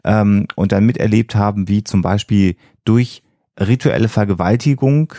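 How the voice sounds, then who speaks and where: Low, creaky-voiced man explaining earnestly. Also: normal speed, dark tone, no room sound, clean studio sound. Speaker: podcast co-host. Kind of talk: explanatory podcast discussion.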